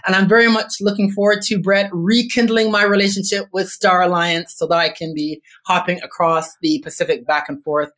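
A middle-aged Canadian man being interviewed on a podcast, talking in a measured way with frequent ums and restarts. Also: high-pitched, level tone, a bit bright. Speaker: podcast guest, business consultant. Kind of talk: casual interview chat.

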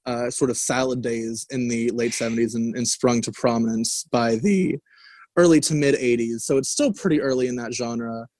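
A man's voice: mid-range voice, darkish tone, dry recording. A man casually discussing an album in a conversation, rambling with lots of fillers and restarts. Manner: rambling, many fillers and restarts, laughs easily. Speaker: album review co-host.

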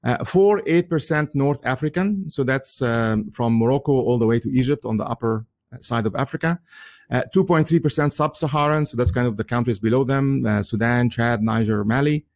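A man's voice: medium pitch, normal speed, a little mellow. A man whose first language is Arabic, giving an earnest solo commentary in English with frequent chuckles and many "uh"s and restarts.

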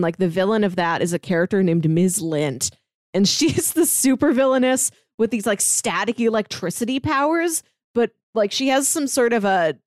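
A woman with a mid-pitched voice talks casually as a podcast panelist. She is chatty and enthusiastic, peppering her sentences with "like". The sound is dry, with no room around her. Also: no echo. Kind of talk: casual review chat.

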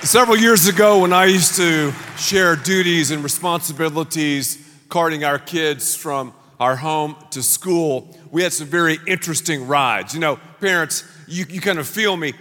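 High-voiced preaching man in a hall. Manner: dramatic preaching, shouts acted quotes. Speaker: pastor. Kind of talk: sermon.

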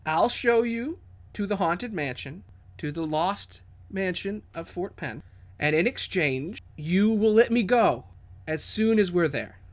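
A man plays a scrawny kobold thief girl with a high-pitched voice. She is nervous and chatty, stumbles over words, and talks like a casual small-time crook angling for a deal in a tabletop fantasy game. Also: animated, measured pace. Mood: eager bargaining. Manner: stammering, casual, eager to bargain.

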